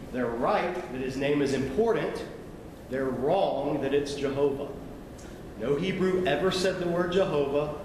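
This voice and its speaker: A man teaching a sermon in a conversational, explanatory way, with asides to his listeners. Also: mid-range voice. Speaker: preacher. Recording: echoey hall, noisy ambience.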